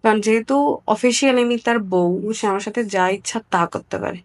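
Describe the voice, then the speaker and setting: A woman from Bangladesh giving a personal account as an interview guest, candid and somewhat halting. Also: mid-range voice, steady tone.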